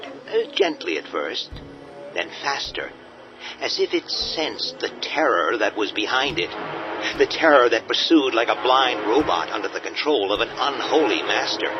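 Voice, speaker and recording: A man with a low voice narrates a horror tale in a grave, ominous manner. The sound is dull and lo-fi, with clear music playing under him. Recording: dull audio with music bed.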